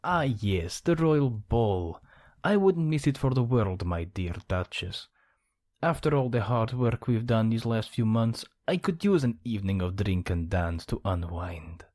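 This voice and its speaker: A man's voice: fairly low pitch, animated, unhurried, darkish tone, dry recording, clean studio sound. A man playing a suave, gossipy, scheming count in an ASMR roleplay, speaking in a genteel, theatrical manner. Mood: amused, gossipy. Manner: genteel, theatrical, arch phrasing.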